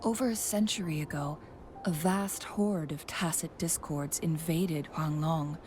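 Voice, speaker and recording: A woman playing a composed, scholarly young counselor in a video game, speaking in a measured, soft and sometimes breathy way. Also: deep voice, fairly even intonation, faint music behind. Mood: gentle, thoughtful.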